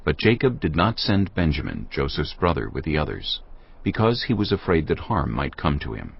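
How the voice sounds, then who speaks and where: Very deep, gravelly male narrator. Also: big pitch swings, moderate pace. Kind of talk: dramatized scripture narration, scripted. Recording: dull audio with clear music.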